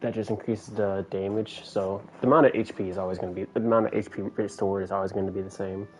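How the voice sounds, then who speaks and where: A man's voice: fairly low pitch, fairly even intonation, fast talker, a little mellow, a little hiss or hum, rough recording. A man giving casual, informal commentary as he livestreams a video game, thinking aloud as he plays.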